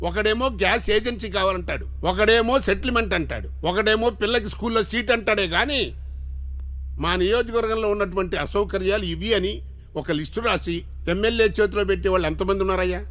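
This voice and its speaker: An actor playing a cynical, exasperated politician in a film, ranting colloquially with rhetorical questions. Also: male voice, sits high, fast talker, fairly bright tone. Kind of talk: film dialogue monologue.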